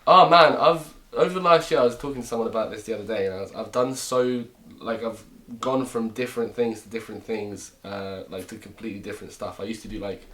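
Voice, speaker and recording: A man's voice: fairly low pitch, fast talker, few pauses, a bit bright. A man in his twenties from Romania, a comedian guest chatting casually and joking in a rambling way. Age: 20s. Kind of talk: casual chat.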